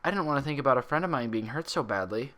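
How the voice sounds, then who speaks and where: A man's voice: mid-range voice, expressive, racing, fairly bright tone, pitched above the performer's own voice, natural voice. A man voicing an earnest, anxious young female cartoon pony with an emotional delivery, read from a story. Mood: guilty, apologetic.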